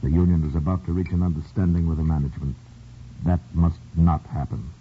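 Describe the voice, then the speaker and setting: An actor playing a cold, commanding communist boss in a 1950s radio drama, measured and curt. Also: male voice, very deep voice, fairly even intonation. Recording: tinny old radio broadcast, faint hiss.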